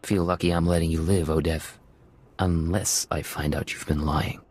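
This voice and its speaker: A ruthless undercover agent in a video game. His low, husky voice is terse and menacing, with a long reverb. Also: level tone, normal speed, darkish tone. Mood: cold.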